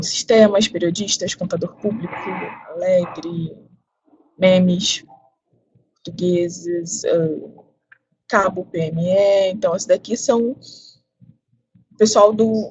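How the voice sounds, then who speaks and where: A woman with a somewhat low voice walks viewers through a software demo on a video call. She reads out lists in a casual, explanatory way over dull, lo-fi audio. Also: a little slow. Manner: explanatory, list-reading, casual fillers. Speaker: presenter demonstrating a platform. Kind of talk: screen-share demo.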